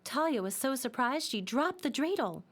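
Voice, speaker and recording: A woman narrating a scripted children's story in a simple, expressive storytelling manner. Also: sits high, normal speed.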